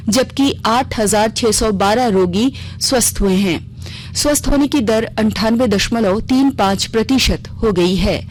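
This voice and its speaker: A woman's voice: on the high side, slight background noise, poor audio. A woman reading a scripted regional news bulletin on the radio as the news reader.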